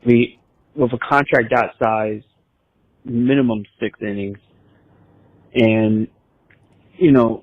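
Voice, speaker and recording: Male caller, middle pitch, measured and a little hesitant, casual sports talk over a tinny phone line. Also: dry recording.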